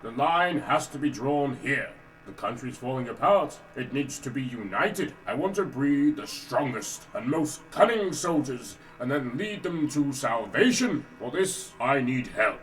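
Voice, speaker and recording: Man acting as deranged old king, blustering, grandiose, animated pitch, distant mic, comedy audio drama. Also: measured pace, soft background music. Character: pompous, deranged old king with a god complex.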